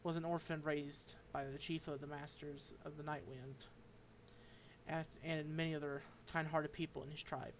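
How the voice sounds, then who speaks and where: A man streaming a game, reading its dialogue aloud and commenting, halting and stumbling over unfamiliar names. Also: a little high, little pitch movement, a little hiss or hum.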